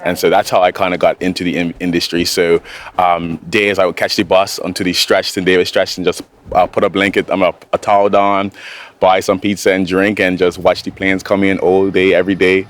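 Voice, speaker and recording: A man's voice: sits low, flat delivery, a little fast. A young man with a Bermudian accent giving informal interview answers as an air traffic controller. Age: young adult.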